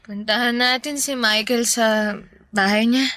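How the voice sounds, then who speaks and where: A woman's voice: fairly high pitch, fairly even intonation, unhurried, pushed and bright, slight background noise. A woman playing a drunk, clingy, heartbroken young woman in a Filipino radio drama, with a Filipino accent. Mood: tipsy, playful.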